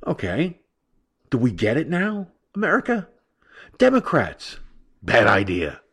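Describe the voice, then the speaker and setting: A man's voice: medium pitch, wildly swooping pitch, unhurried, fairly bright tone, slightly rough. A man hosting an online talk radio show, ranting brashly and profanely about politics, his voice stuffed up and nasal from allergies.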